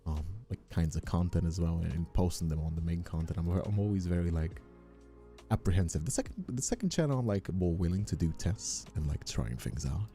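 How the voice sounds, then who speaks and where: A man whose first language is Spanish chats casually as a voice actor and creator, halting and often repeating himself. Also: deep voice, expressive, warm tone. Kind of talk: casual chat. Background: music.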